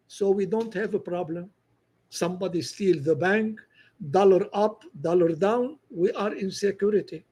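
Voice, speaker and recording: A man's voice: sits high, a little mellow. A man from northern Lebanon with a Lebanese accent, giving a political monologue in broken English with some French phrases.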